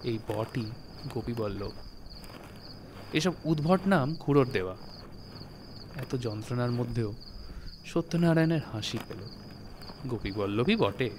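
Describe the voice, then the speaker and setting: A man reading a literary story as narrator, in a measured, phrase-by-phrase storytelling manner. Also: mid-range voice, expressive, noisy background. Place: recording studio. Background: music.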